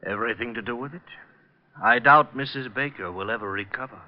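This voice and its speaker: A man's voice: mid-range voice, a little fast, lightly acted. A man playing a placid, soothing sanitarium doctor who indulges people whimsically, in old radio drama. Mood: subdued, hushed. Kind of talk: 1940s-50s radio drama acting. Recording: dull, noisy old radio recording.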